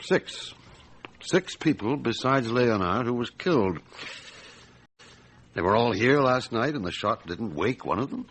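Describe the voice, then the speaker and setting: A man playing a measured, quietly observant French chief police inspector in a radio drama, questioning tersely and musing in asides. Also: mid-range voice, lively intonation, slightly rough, rough recording. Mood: measured, probing. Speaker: actor in lead role, also narrating.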